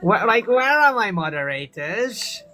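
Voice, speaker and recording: A man's voice: sits high, sing-song, bright, forceful tone, faint music behind. A man hosting a livestream with callers, scolding the chat and defending himself. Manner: scolding, defensive, quick to complain. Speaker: livestream host.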